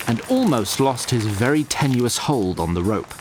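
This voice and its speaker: A man's voice: medium pitch, huge pitch swings, moderate pace, background noise. A man narrating a scripted audio drama in a wry, formal storybook manner, addressing the listener directly.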